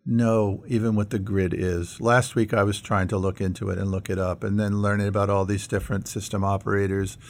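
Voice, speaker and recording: A middle-aged American man with a fairly deep voice and plenty of fry. He co-hosts a discussion in an earnest, conversational way, often posing questions as he reasons aloud. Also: level tone, brisk, few pauses. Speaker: podcast co-host.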